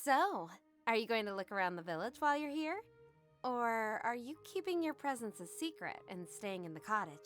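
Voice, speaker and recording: Woman playing a lively, inquisitive young woman. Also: a little high, normal speed, soft background music. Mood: casual, curious. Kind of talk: audio drama acting.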